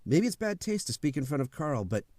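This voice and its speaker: A man's voice: a little high, very animated, fairly quick, darkish tone, dry recording. An actor playing a frank, determined man in love, speaking earnestly in an old-time radio drama. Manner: earnest, direct speech-making.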